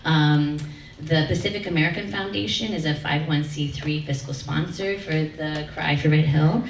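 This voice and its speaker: Low-voiced woman chairing a meeting. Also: normal speed. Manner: hesitant, many fillers. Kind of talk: chairing a public community meeting. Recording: echoey room, distant mic, faint noise.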